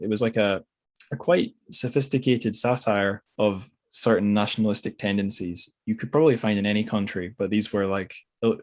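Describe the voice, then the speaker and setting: A man hosting a podcast, talking casually and tentatively about books as he thinks aloud. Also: fairly low pitch. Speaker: podcast host. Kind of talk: casual book discussion.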